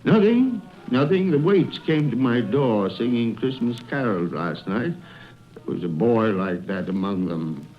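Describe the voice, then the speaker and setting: A man's voice: medium pitch, expressive, a little slow, lightly acted. An actor playing a frightened, remorseful old miser in a radio drama, exclaiming, stammering and repeating his words. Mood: wistful, regretful. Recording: muffled radio broadcast with music underneath.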